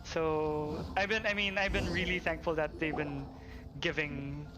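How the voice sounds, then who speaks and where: A man with a fairly high voice hosts a casual game livestream. He talks in an excitable, effusive manner, often repeating himself. Game music plays clearly behind him. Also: a little slow. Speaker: live game streamer. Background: game music and character voices.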